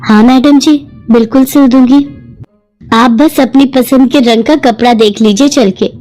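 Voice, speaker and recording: A woman plays a poor young working woman from a cartoon moral tale. She speaks earnestly and respectfully in a fairly high, soft-edged mellow voice, with music underneath. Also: moderate pace, lightly acted. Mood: eager. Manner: deferential, earnest. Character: poor, humble, hardworking young hotel worker woman, earnest and wistful. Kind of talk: Hindi moral-story cartoon acting.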